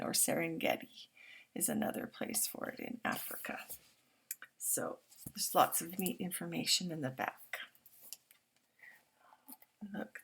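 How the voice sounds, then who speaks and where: Older female storytime reader, unhurried and gentle, explains pictures with quiet asides. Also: mid-range voice, husky. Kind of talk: children's picture-book read-aloud.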